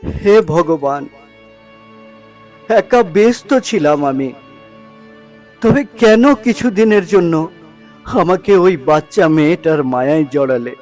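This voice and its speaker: A man voicing a poor, lonely villager, a devoted foster father, in a pleading, drawn-out, emotional way for a story. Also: a little high, lively intonation, darkish tone, soft background music. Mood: anguished pleading.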